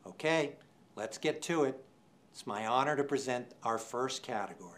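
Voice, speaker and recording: A man's voice: mid-range voice, expressive, normal speed. Older man presenting an awards show. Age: 60s. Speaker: department chair presenting awards. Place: indoor studio set.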